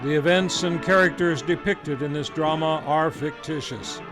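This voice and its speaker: A male radio announcer with a stately, booming delivery. His voice sits slightly high. Music plays clearly behind him. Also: normal speed.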